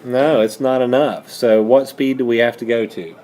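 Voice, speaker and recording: A man's voice: mid-range voice, steady tone, normal speed, darkish tone. A man teaching a math lesson step by step, posing questions and then answering them himself. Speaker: high school math teacher.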